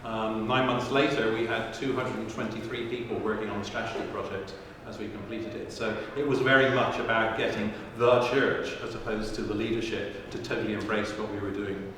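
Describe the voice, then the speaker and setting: A man's voice: lowish voice, steady tone, brisk, hardly any pauses, a bit bright. A man leading a church strategy workshop, chatting about himself in a self-deprecating way. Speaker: workshop leader. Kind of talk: workshop introduction. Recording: echoey room from far off with noise.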